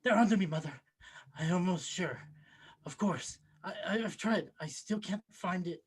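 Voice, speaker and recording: A man playing a nervous, secretive oddball uncle in a script table read, husky and hesitant. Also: high voice, moderate pace. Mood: furtive, anxious.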